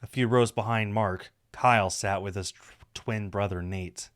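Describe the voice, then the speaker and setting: A man's voice: on the low side, steady tone, unhurried. A man reading a visual novel aloud on a stream, narrating the story with casual asides.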